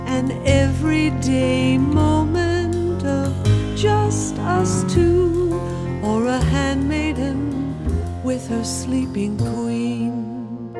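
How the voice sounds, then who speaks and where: A woman performing a grieving daughter who sings a gentle, lyrical ballad to her late mother in a musical audio drama. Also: high voice, very slow, over loud music. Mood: tender, wistful.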